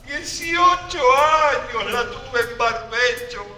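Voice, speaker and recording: Stage lamenter: very high, slow, bitter declamation, half sung, reverberant hall. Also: female voice, expressive, bright, forceful tone, exaggerated voice. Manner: declamatory, drawn-out exclamations. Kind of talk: theatrical stage monologue with sung phrases. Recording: echoey hall with music.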